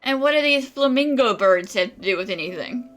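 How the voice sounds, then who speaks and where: A woman's voice: a little high, expressive, a little fast, fairly bright tone, soft background music. A woman giving casual, wry and teasing commentary on a game playthrough in slangy speech. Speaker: gaming streamer.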